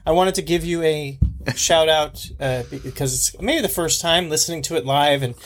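A man co-hosting a podcast in casual, blunt talk, with a squeaky, abrasive voice and the mic set back from him. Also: moderate pace, a bit bright. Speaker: podcast co-host. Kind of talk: casual chat. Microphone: microphone placed well away from him.

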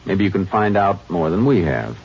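An actor playing a calm, steady Texas Ranger in an old radio drama, speaking in a level, firm and probing way. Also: male voice, low-pitched, moderate pace, creaky, husky, exaggerated voice. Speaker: lead and narrator of the drama. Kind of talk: 1950s radio drama acting. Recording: muffled old radio broadcast with hiss.

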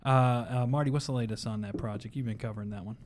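A man's voice: fairly low pitch, rapid-fire, dark tone, slight background noise. A man hosting a casual panel discussion, chatty and self-deprecating. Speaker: host.

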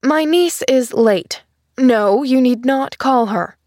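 A woman's voice: high-pitched, slow, no room sound, clean studio sound, voice pushed up. A woman voicing a stern, impatient mistress of the house in an audiobook, clipped and decisive. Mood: severe. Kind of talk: audiobook character voice.

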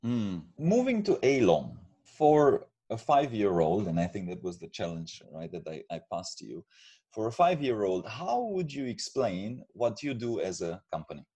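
A man's voice: lowish voice, very animated, normal speed, mellow, husky. A man hosting a formal interview, giving prepared introductions and questions to a guest. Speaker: interview host.

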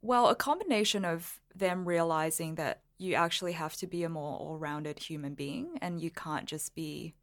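Podcast guest, female, from Singapore, low register, thoughtful conversational explainer with loose, informal phrasing. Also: moderate pace, few pauses. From Singapore, moved to Melbourne at thirteen. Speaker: podcast guest, lawyer and blogger. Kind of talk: casual interview chat.

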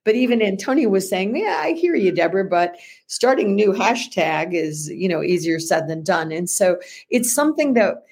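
Woman, husky, mid-pitched, animated conversational podcast talk. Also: normal speed. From United States. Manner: animated, self-questioning storytelling. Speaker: podcast co-host.

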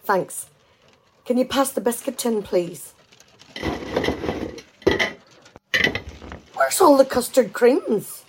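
An actress playing an exasperated, sarcastic wife in a radio play comedy, talkative and full of indignant questions. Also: female voice, on the high side, expressive, measured pace, a little mellow, a little hiss or hum. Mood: settled.

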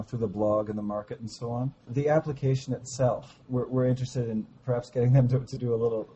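A man with a slightly hoarse voice from a cold, talking about his startup in a halting, enthusiastic way during an interview call. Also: mid-range voice, warm tone, dull audio, slight background noise. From Canada. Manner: halting, many restarts, enthusiastic. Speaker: startup founder guest. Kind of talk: casual interview over a call.

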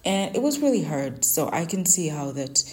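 Low-voiced woman giving a Bible reflection. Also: sing-song, normal speed, darkish tone. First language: Luganda. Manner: earnest, conversational, sharing personal stories. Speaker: Bible devotional podcast host. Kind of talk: personal Bible reflection and teaching.

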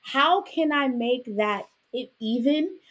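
Husky Jamaican-born woman telling stories. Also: sits high, slow pace. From Jamaica, raised in Brooklyn. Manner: animated storytelling with frequent "right?" asides. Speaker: podcast guest, author and business owner. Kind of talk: casual interview conversation.

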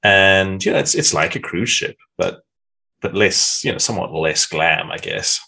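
A man's voice: deep voice, animated, normal speed, gravelly, sharp tone, husky. A man, chatting frankly as a podcast guest and telling stories. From South Africa. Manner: frank, reflective storyteller. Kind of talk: casual interview chat.

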